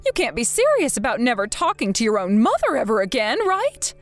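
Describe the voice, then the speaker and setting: A shrill, wheedling mother, put on by a woman in an audio drama, pleading and self-pitying. Her voice sits high and lurches up and down, and faint music plays beneath her. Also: huge pitch swings, brisk. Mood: begging. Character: whining, manipulative middle-aged mother. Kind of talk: dramatized story.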